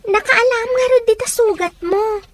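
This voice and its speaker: A woman's voice: extremely high pitch, moderate pace. A woman playing a poor, resilient young orphan in an audio drama, plain-spoken and caring, with a northern Philippine accent. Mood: concerned, curious. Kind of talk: acted audio drama dialogue. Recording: faint background noise.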